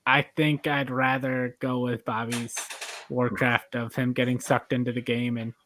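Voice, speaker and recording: A man's voice: medium pitch, flat delivery, unhurried, fairly bright tone, slight background noise. A man casually hosting and judging a podcast game. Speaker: podcast host and judge.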